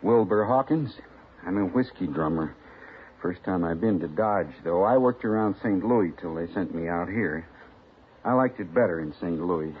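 A rambling, jittery whiskey drummer in an old radio western, low-voiced and oddly flat about dire news. The sound is tinny and thin like an old broadcast, with a faint hiss underneath. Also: male voice, moderate pace. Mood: uneasy. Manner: rambling, nervous, oddly matter-of-fact. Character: odd, fussy traveling whiskey salesman, middle-aged man. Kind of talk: 1950s radio drama acting.

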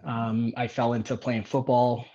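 A man's voice: mid-range voice, flat delivery, a little slow, mellow. A man from Portugal, a native Portuguese speaker, telling his own story as a guest in a casual, rambling way. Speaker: interview guest, business owner. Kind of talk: casual chat, personal storytelling. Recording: slightly dull, lo-fi audio.

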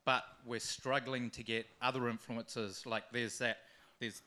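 Bright, forceful-voiced man. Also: mid-range voice, level tone, measured pace. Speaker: audience questioner. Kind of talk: live question to a speaker.

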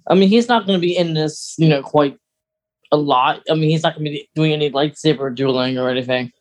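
A woman's voice: sits low, a little fast. A woman co-hosting a podcast, talking casually and in detail, with asides and qualifications along the way. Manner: conversational, hedging, adds asides and details. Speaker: podcast co-host. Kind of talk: casual, detailed fan discussion.

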